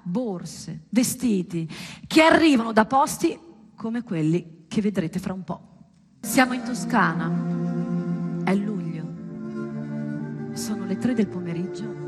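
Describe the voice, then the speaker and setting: A woman performing a scripted theatrical monologue, storytelling with deliberate pauses and emphatic, dramatic delivery. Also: medium pitch, husky. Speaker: stage performer.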